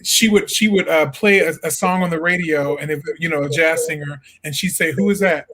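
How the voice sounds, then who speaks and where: A young man talking casually in an interview, his voice sitting a little high. He answers loosely, with plenty of fillers and restarts, and laughs easily. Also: fairly quick, a bit bright, rough recording. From Peoria, Illinois. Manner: easygoing, laughs often, many fillers and restarts. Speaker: jazz singer and songwriter, guest.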